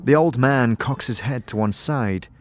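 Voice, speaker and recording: A man narrating a scripted fantasy story in a measured, dramatic way, addressing the listener as "you". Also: mid-range voice, soft background music.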